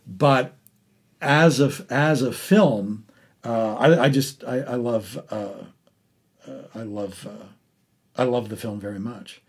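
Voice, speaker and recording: A man hosting a casual arts interview, speaking haltingly and often restarting his sentences. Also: mid-range voice, husky. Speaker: interviewer and podcast host.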